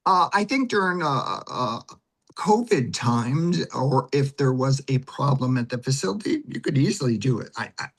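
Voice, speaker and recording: A man with an ordinary mid-range voice answers as an interview guest. He explains casually and haltingly, breaking his thoughts into short pieces with frequent hesitations. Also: mid-range voice, very animated, breathy edge. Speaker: guest, community theater member.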